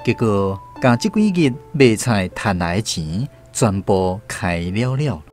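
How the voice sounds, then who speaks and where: A man's voice: fairly low pitch, sing-song, slow, music in the background. A man with a Taiwanese accent reading scripted story narration for a radio drama.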